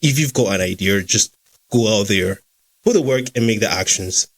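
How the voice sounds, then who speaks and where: A young Scottish man hosts a podcast in an easygoing, chatty way. His voice sits on the low side, and he fills gaps with small fillers. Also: animated, normal speed. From Scotland. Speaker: podcast host and founder. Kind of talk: casual chat.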